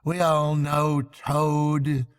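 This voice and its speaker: A man voicing a smug weasel giving a mock-pompous speech in a squeaky voice for a storybook. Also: little pitch movement, extremely slow, smooth. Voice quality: high, squeaky.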